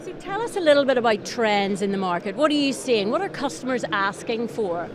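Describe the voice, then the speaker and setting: A woman's voice: on the high side, big pitch swings, brisk. A woman interviewing a guest conversationally at a trade show. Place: busy exhibition hall. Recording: busy trade show crowd noise.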